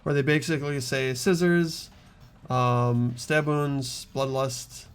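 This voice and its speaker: A man's voice: medium pitch, steady tone, slow, clean tone. A man hosting a casual game playthrough, reading aloud and commenting in a joking, self-mocking way. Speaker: host of a group game playthrough. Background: faint game music.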